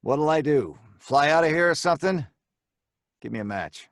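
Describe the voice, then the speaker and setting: A man's voice: on the high side, expressive, normal speed, fairly bright tone, no echo, clean studio sound. An actor playing a wry, stubborn crook in an old-time radio drama, dismissive and telling his story in the first person. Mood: stubborn, irritated. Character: hard-boiled, sardonic male crook who narrates his predicament.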